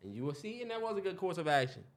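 A man's voice: high-pitched, very animated, very fast. An American man co-hosting a podcast, talking casually in informal slang. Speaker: podcast co-host. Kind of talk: casual chat.

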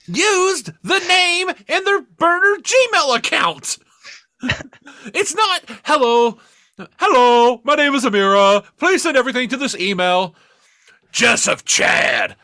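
A man co-hosting a podcast in casual comedic chat, exclamatory and quick to swear. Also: extremely high pitch, lively intonation, pushed and bright, dry recording, studio quality. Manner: profane, exclamatory. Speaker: podcast co-host.

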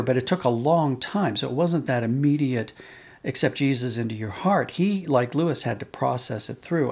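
Reflective man with a creaky voice. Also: medium pitch, a little mellow. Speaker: podcast guest, audio drama writer and director. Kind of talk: thoughtful explanatory conversation.

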